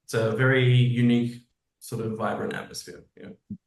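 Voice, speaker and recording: Echoey, halting man in conversation. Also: medium pitch, flat delivery. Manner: halting, self-interrupting, rambling. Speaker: guest, musical theatre writer. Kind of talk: casual interview talk. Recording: echoey room.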